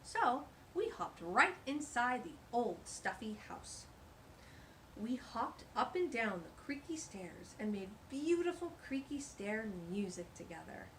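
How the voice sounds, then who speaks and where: A woman with a fairly high voice reads a children's story aloud. Her delivery is expressive and exclamatory, slipping into chatty asides, with slight noise in the background. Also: takes it slowly, rough recording. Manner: animated, exclamatory.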